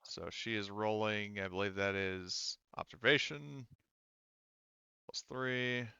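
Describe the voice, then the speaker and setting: A man with a fairly low, clear voice runs a tabletop roleplaying game as game master. He talks casually and haltingly, working things out aloud, on a dry, slightly dull recording. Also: steady tone, bright, forceful tone, no echo.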